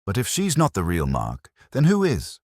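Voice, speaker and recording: A man's voice: lowish voice, wildly swooping pitch, normal speed, darkish tone, no room sound, studio quality, voice pushed up. A man voicing an earnest, puzzled police sergeant in a mystery story, plain-spoken and questioning, deferential to his superior.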